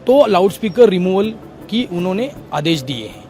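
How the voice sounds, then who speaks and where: A man's voice: high-pitched, big pitch swings, moderate pace, mellow, faint music behind. A man, a lawyer, answering a news interviewer, laying out legal points step by step with English legal phrases mixed in. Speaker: lawyer for the petitioners.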